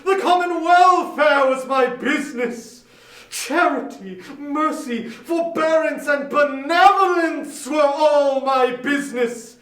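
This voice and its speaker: An actor playing the tormented ghost of a dead businessman in a dramatic reading, declaiming in drawn-out, anguished remorse. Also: very high-pitched, sharp tone, exaggerated voice. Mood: wailing outrage. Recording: echoey room sound.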